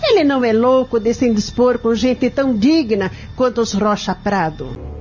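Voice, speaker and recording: An actress playing a brusque, formal secretary in an audio drama, snobbish and dismissive. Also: female voice, fairly high pitch, lively intonation, moderate pace, a little mellow, soft background music, lightly acted. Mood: indignant, stern. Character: curt, officious female law-office secretary, snobbishly indignant. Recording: dull, noisy lo-fi recording.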